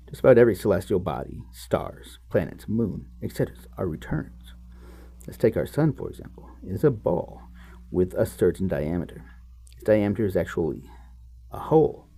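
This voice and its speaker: Low-voiced man with a gravelly, animated delivery. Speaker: host reading a book chapter aloud. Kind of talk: read-aloud of a book with offhand asides.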